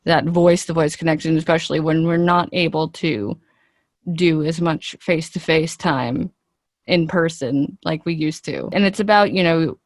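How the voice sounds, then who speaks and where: A woman's voice: sits low, no echo, clean studio sound. A woman speaking as an interview guest, an actress giving earnest, talkative answers in a conversational way and thinking aloud.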